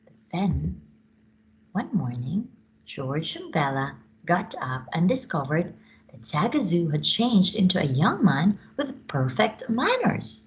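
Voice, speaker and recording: A woman storyteller reads to children at an unhurried pace, with big swoops in pitch and dramatic emphasis. Her voice sits slightly low, and the recording is a little muffled. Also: sing-song. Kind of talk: children's read-aloud storytelling.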